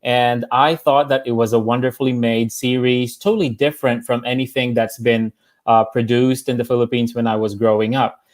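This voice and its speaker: A man's voice: mid-range voice, steady tone, moderate pace. A man speaking as a panelist, thoughtful, with frequent "uh"s. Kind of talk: panel discussion.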